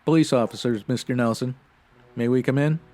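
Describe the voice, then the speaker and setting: Stern, deadpan 1950s police sergeant played by a man. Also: medium pitch, brisk, lightly acted. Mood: tough, curt. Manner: deadpan, clipped, matter-of-fact. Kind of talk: 1950s radio police procedural acting.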